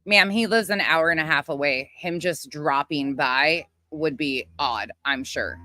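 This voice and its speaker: A woman's voice: low-pitched, a little slow, pushed and bright. A woman hosting a live reaction stream, with a blunt, combative, sweary way of talking. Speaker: livestream host.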